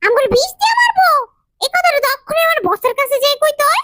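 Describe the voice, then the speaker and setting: Give this cartoon ant henchman an extremely high, squeaky voice. He is whiny, agitated and deferential to his boss, with loud children's music playing behind him. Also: sing-song, quick pace. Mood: panicked. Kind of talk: cartoon voice acting.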